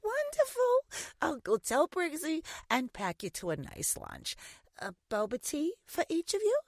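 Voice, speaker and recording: A woman's voice: sits high, huge pitch swings, unhurried, no echo, studio quality, exaggerated voice. A woman playing a gushing, doting yet imperious mother in a science fiction audio drama, with theatrical, cajoling delivery. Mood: sweetly cajoling. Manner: theatrical, effusive, cajoling with endearments. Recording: music under the voice.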